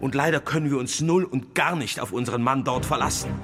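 A man's voice: mid-range voice, quick pace, music in the background, lightly acted. A man playing a commanding, alarmed bishop in a German radio drama, urgent and imperious in his delivery. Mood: grave, alarmed.

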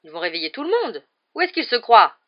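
Une femme qui interprète dans un livre audio une infirmière scolaire autoritaire, indignée et irritable. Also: female voice, fairly high pitch, very animated, a little fast, muffled recording, voice pushed up. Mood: indignant. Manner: scolding, irritable. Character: bossy, indignant, exasperated female school nurse. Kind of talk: acted audiobook dialogue.

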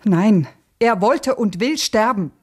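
A woman's voice: medium pitch, lively intonation, slow pace, breathy edge. An actress playing a grieving, bitter woman revolutionary in a radio play, with an intense, sorrowful delivery. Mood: bitter, insistent. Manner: intense, sorrowful, sometimes dropping to a hush.

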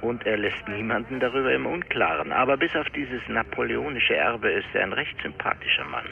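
An actor playing an erudite middle-aged police officer in a radio crime drama, gravelly and lecturing in a pedantic way. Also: male voice, medium pitch, big pitch swings, fairly quick, phone-quality sound, slight background noise. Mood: informative, measured.

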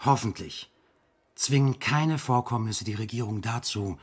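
An actor playing a stern official in a radio drama, formal, cold and ominous. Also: male voice, medium pitch, measured pace, husky.

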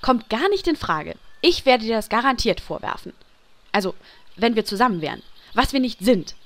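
A woman playing a sharp-tongued, exasperated elf priestess who leads a guild in a parody radio play. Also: fairly high pitch, very animated, moderate pace. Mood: indignant.

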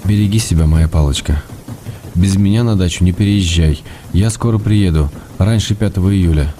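An actor playing an affectionate, playful middle-aged husband, a writer reading his letters in an intimate, measured way for a radio drama. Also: low voice, a little hiss or hum, close to an everyday voice. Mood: cheerful, caring. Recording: loud music behind the voice.

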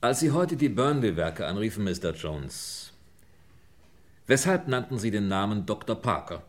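A shrewd police inspector in an old radio crime play. His voice sits fairly low, and he questions deliberately, with an impatient, commanding edge. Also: very animated. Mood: sceptical, pressing. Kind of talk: mid-century German radio crime drama acting.

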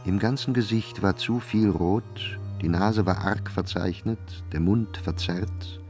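An actor as an urbane literary narrator in a radio drama, measured and dryly ironic. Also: male voice, lowish voice, a little mellow, soft background music.

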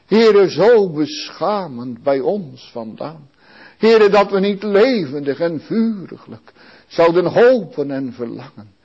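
Slow, solemn praying man. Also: a little high, big pitch swings, darkish tone, husky, muffled recording. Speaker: praying minister. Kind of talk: public prayer.

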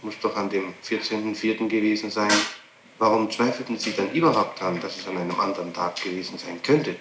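Male interrogator, lowish pitch. Formal, pressing, persistent. Archival tape with echo and faint noise. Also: a little fast. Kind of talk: formal questioning.

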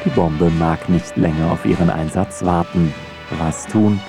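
A man narrating a scripted radio feature in a wry, measured manner. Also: sits low, fairly even intonation, over music.

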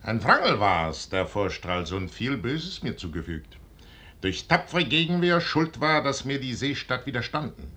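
An actor playing a proud, brooding middle-aged general in a 1950s radio play, declaiming in a weighty, solemn stage manner. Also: male voice, sing-song, pushed and bright, husky, heavily acted. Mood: guarded, probing. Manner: declamatory, weighty, solemn delivery with measured pauses. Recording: noisy 1950s radio recording with rumble.

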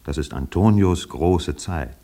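A man narrating a literary radio play in a measured, precise, faintly ironic manner. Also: sits low, warm tone.

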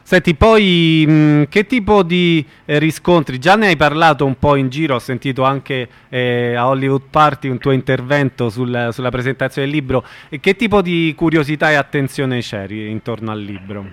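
A man hosting an informal radio interview, phrasing things haltingly with searching pauses. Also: medium pitch, fairly bright tone, dry recording.

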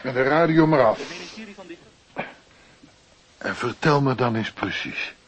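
A man's voice: mid-range voice, animated, takes it slowly. An actor playing a fatherly, caring night porter in a radio play, coaxing and solicitous. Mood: fussing, caring. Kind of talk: radio drama acting.

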